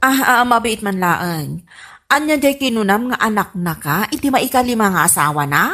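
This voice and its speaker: A woman's voice: medium pitch, expressive, normal speed, bright, forceful tone. A woman playing a nosy, incredulous grown daughter in an Ilocano comedy radio drama, asking and exclaiming in an Ilocano accent. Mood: puzzled questioning. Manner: questioning, exclaiming.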